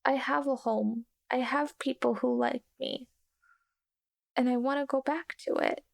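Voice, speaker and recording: Give the part of a homesick, hurt young girl in a roleplaying game to a woman acting it out. The voice is high and creaky and moves slowly, halting yet plaintively insistent. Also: fairly even intonation. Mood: scared, homesick.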